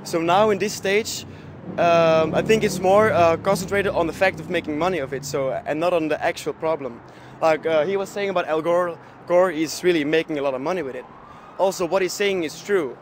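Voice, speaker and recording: A man's voice: fairly high pitch, brisk, slight background noise. A man from Belgium, interviewed on a city street, speaking hesitantly and searching for words. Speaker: passer-by interviewee.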